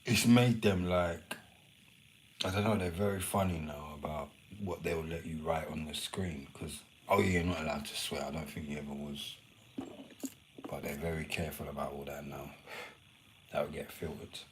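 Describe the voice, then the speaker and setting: A man's voice: extremely low pitch, fairly even intonation, normal speed, a little hiss or hum, rough recording. A man casually chatting and rambling to his viewers on a live stream, swearing now and then. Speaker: livestreamer.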